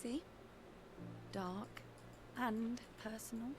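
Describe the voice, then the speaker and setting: A woman's voice: on the high side, fairly even intonation, extremely slow, darkish tone, breathy edge, heavily acted. A woman playing a cool, probing, teasing companion in naturalistic video game dialogue, terse and understated. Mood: dry teasing. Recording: noisy ambience behind game dialogue.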